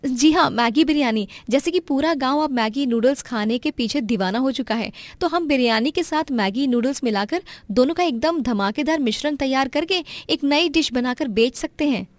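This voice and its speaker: Woman doing bright eager cartoon wife, high voice, dry sound. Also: moderate pace, hardly any pauses, no room sound, close to an everyday voice. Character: Gayatri, resourceful young wife.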